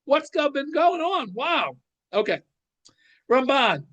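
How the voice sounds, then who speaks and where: High-pitched man teaching a Torah class. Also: big pitch swings, slow, fairly bright tone. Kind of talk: informal religious shiur, explaining scripture.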